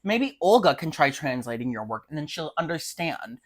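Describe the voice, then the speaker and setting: A woman's voice: low voice, big pitch swings, moderate pace. A young woman co-hosting a podcast, chatting casually about books in a blunt, slangy, profane way. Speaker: podcast co-host. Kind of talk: casual book-review chat.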